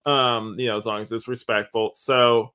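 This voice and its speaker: A male podcast co-host talks in a loose, conversational style. His voice sits at an average male pitch, and he rambles through his thoughts, often leaning on the word "like" as he goes. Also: flat delivery, normal speed, pushed and bright, smooth. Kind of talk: casual podcast discussion.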